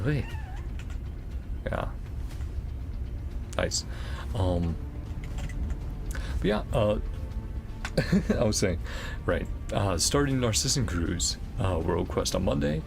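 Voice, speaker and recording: A man's voice: fairly low pitch, vocal fry. A man doing casual livestream commentary on a game, rambling and thinking aloud as he goes. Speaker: game streamer. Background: game music.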